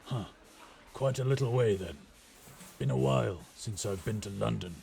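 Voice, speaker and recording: A man's voice: on the low side, gravelly, a little mellow, a little hiss or hum. A man playing a roguish, mercenary skyship captain with wry, courteous, old-fashioned speech in an immersive audio roleplay. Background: ambient sound effects.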